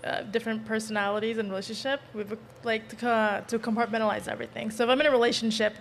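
A woman on a podcast panel, soft-spoken and quick. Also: fairly high pitch, flat delivery, slight background noise. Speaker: panel guest. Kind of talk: casual panel chat.

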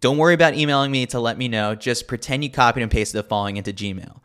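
A man's voice: medium pitch, quick pace, fairly bright tone, smooth. A man reading a scripted sports newsletter as a podcast host, with a wry, tongue-in-cheek manner.

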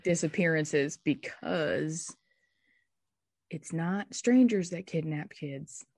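A woman's voice: low voice, slow, darkish tone, no room sound, studio quality. A woman with a sore throat co-hosting a podcast in a casual, joking chat, laughing often. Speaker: podcast co-host.

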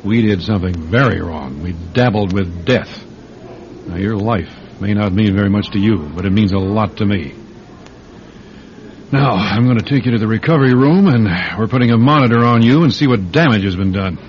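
A noisy, muffled vintage radio broadcast carries a stern male doctor, played by an actor. His voice sits on the low side, exasperated and emphatic, like a man whose conscience is weighing on him. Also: moderate pace, close to an everyday voice. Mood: grim. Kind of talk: classic radio drama acting.

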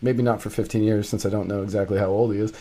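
A man co-hosting a talk radio show, recounting a friend's situation in a relaxed, chatty way with lots of fillers. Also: lowish voice, quick pace. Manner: casual storyteller, frequent fillers like "like" and "um". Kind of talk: casual political talk radio.

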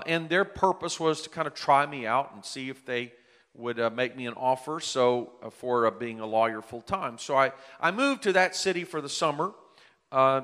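A man's voice: mid-range voice, a bit bright. A man in his late fifties preaching a sermon, earnest and building to emphatic peaks as he tells his own story. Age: 50s. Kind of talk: sermon with personal testimony. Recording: long hall reverb.

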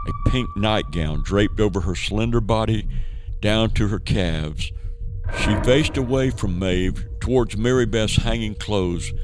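A man narrating a horror audio drama in a dramatic, scripted storytelling style. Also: on the low side.

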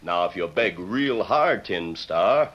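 An actor playing a mocking, hot-tempered American outlaw gang leader in an old radio western. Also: male voice, deep voice, expressive, slow pace, fairly bright tone, exaggerated voice. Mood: sneering. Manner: sneering, taunting. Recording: muffled, very noisy old radio recording.

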